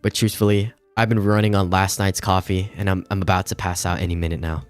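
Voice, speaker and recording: A man's voice: low voice, little pitch movement, fairly quick, smooth, natural voice. A man voicing a weary, blunt male detective in a visual novel, with a tired, dry way of talking. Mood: exhausted. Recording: music behind the voice.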